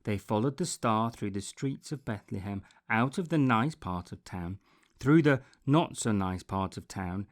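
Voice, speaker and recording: Man reading a children's storybook, slow and expressive. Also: fairly low pitch. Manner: deliberate, dramatic phrasing with pauses, repetition and emphasis. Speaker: storybook reader.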